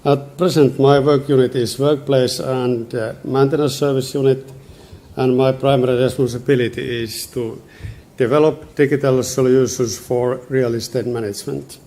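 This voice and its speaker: Middle-aged male presenter, Finnish accent, mellow relaxed tone, measured formal delivery. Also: medium pitch. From Finland. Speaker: conference speaker, senior advisor.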